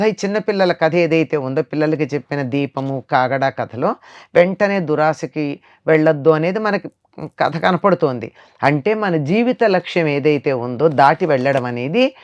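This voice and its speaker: A woman's voice: low-pitched, expressive, normal speed, darkish tone, muffled recording. Older woman teaching morals, emphatic. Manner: didactic, emphatic, repeats phrases for emphasis. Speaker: spiritual storyteller and teacher. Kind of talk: moral discourse in conversation.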